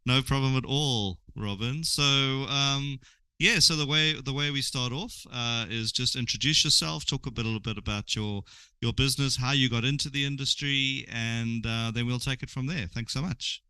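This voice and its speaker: A man hosting an interview podcast, talking in a friendly, halting way with many restarts. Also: medium pitch, sharp tone, smooth, no echo. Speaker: podcast host.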